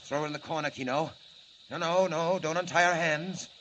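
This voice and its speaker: Actor as a mock-polite gangster boss, noisy recording. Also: male voice, a little high, fairly even intonation, moderate pace, a bit bright, close to an everyday voice. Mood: cold, mock-polite. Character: smooth sardonic commanding gangster boss, male villain. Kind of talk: 1940s radio acting. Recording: noisy old radio recording, hissy.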